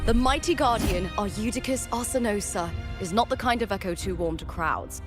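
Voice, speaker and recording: A woman's voice: mid-range voice, moderate pace, natural voice. Woman acting a grand, theatrical female game herald. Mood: proud, grand. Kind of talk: dramatic video game voice acting. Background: game music.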